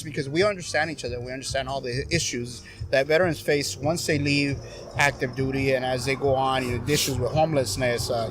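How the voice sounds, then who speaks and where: A man's voice: mid-range voice, background noise. A middle-aged veteran man in casual podcast talk on a patio, joking and teasing his friends. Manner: teasing, joking, laughs often. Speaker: guest, veteran advocate. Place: covered patio with string lights at night.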